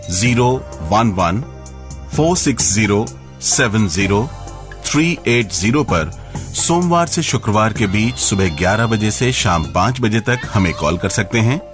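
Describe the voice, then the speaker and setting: A man reading a scripted helpline announcement. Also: on the low side.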